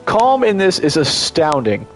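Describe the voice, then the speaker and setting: A man's voice: fairly high pitch, sing-song, a little slow, soft background music. A congested man giving casual, enthusiastic gameplay commentary as a streamer, rambling and restarting his sentences often.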